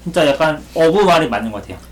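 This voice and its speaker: A man's voice: fairly high pitch, expressive, rapid-fire, slight background noise. A man appearing as a podcast guest, chatting politely and hedging his points with fillers. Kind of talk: casual chat.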